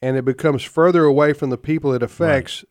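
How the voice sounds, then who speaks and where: A man in his fifties hosting a radio talk show, speaking in a folksy, opinionated way with many halting fillers. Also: a little high, fairly even intonation, no echo. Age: 50s.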